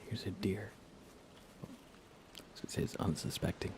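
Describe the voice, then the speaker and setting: A man chatting casually in a soft ASMR gaming-stream voice, often dropping toward a whisper. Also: moderate pace, background noise. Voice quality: creaky, low. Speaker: livestreaming gamer.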